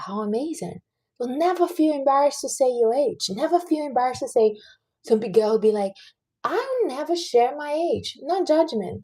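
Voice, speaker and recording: Mellow, husky woman in her late thirties. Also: high voice. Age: 30s. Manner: chatty and earnest, giving life advice to viewers. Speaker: livestream host. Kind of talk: casual livestream chat.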